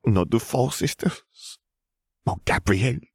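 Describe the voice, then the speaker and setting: A man's voice: mid-range voice, lively intonation, takes it slowly, creaky, breathy edge, no room sound, studio quality, voice pushed up, exaggerated voice. A man voicing a jealous, hot-tempered pregnant young woman, part bird, who sounds sulky and accusing. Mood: pouting.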